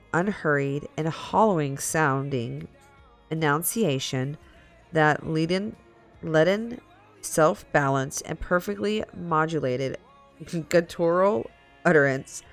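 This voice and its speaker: Woman streamer reading out loud kinda slow and halting, gravelly fry in her voice, faint music behind. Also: deep voice. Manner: halting, stumbling over long words. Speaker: livestream host. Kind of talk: dramatic story reading with casual chat asides.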